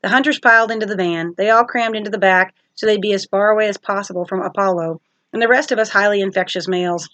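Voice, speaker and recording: A woman's voice: medium pitch, quick pace, fairly bright tone, no echo, muffled recording. A woman teacher reading a storybook aloud as narration for children.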